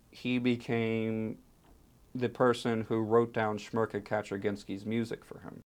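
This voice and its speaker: A man being interviewed, telling a personal story with dramatic emphasis and pauses. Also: fairly low pitch, creaky, a little mellow. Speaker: interviewee, archive fellow and translator.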